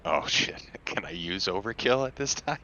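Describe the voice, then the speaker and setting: A man with a very low voice and a forceful, bright edge, playing in a tabletop game. He sounds eager and laughs easily. The recording is dull and lo-fi, with faint noise. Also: expressive, moderate pace. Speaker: player. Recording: dull lo-fi mic.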